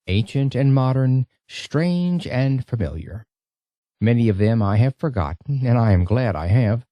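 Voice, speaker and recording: A man reads a story aloud as an audiobook narrator. His pitch moves in lively, expressive swings, while the tone stays soft and mellow. The recording is dry and close. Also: dry recording.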